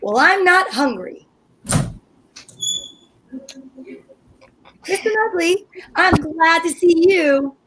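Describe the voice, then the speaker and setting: A woman's voice: very high voice, expressive, measured pace, fairly bright tone, exaggerated voice, poor audio. A woman playing an anxious, pushy housewife in old time radio comedy style, insistent and impatient.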